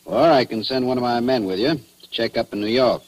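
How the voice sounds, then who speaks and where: An American actor playing a shrewd, blunt police chief in 1940s radio style, terse and folksy. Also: male voice, medium pitch, fairly quick, natural voice, rough recording. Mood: firm, probing. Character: shrewd, blunt small-town police chief questioning suspects.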